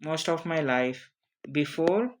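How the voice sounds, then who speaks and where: A non-native English speaker gives an informal lesson at a slow, halting pace. The teacher repeats short phrases and keeps checking for understanding. The pitch sits in a middle range, with faint noise behind the voice. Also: animated. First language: not English. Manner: halting, repetitive, frequently checking with "okay?". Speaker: online teacher of interview English. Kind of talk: informal spoken lesson with examples.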